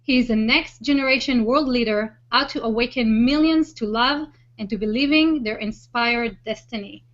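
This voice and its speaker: A woman's voice: fairly high pitch, moderate pace, few pauses. A woman hosting an online interview show, speaking in an enthusiastic presenting style over a video call. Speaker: host of a web show. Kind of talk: enthusiastic hosted introduction and interview. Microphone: online video hangout call. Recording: dull, lo-fi web call audio.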